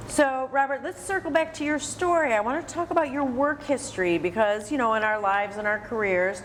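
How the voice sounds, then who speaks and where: A woman's voice: a little high, fairly quick, fairly bright tone, a little hiss or hum, poor audio. An American woman hosting an interview, encouraging her guest and restating what is said. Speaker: interviewer and host. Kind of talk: interview at a senior center, prompting and recapping.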